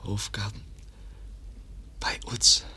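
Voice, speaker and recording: A man's voice: takes it slowly, sharp tone, whispered. An actor in a German radio drama playing a wounded young man, weak and in pain, gasping out broken, clipped sentences.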